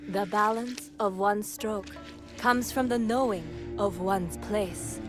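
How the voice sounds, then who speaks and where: Slow, measured noblewoman of feudal Japan, played by an actress. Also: mid-range voice, music in the background, close to an everyday voice. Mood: solemn, instructive. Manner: formal, measured, instructive. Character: composed noblewoman of feudal Japan teaching calligraphy. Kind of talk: dramatic video game cutscene acting.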